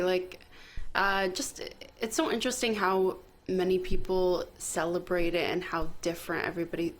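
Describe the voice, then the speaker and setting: A woman co-hosting a talk show in a casual, chatty style. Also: on the low side. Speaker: co-host. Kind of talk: casual radio chat.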